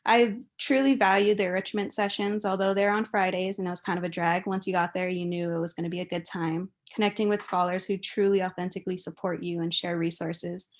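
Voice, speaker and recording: A woman's voice: lowish voice, flat delivery, fairly quick, hardly any pauses. A young adult woman from Hawaii, giving an informal, chatty and giggly speech as a guest speaker. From Kauai, Hawaii.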